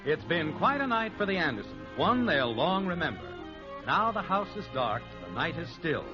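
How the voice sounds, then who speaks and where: An old, band-limited radio recording with music behind a male American announcer. His high voice is forceful and slightly rough, rising and falling with lively swings. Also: normal speed, dull audio. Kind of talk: 1950s radio announcing, scripted narration and sponsor read.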